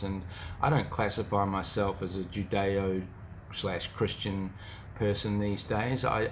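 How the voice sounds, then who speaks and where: A man's voice: fairly low pitch, a little hiss or hum. A middle-aged man with a New Zealand accent giving an informal motivational talk, reflective and speaking straight to the listener.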